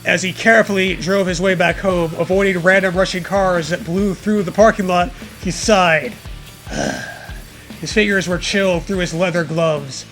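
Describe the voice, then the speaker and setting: A man's voice: high voice, animated, measured pace, a bit bright, faint music behind. A man giving a comedic, mock-dramatic reading aloud as co-host, with profane banter.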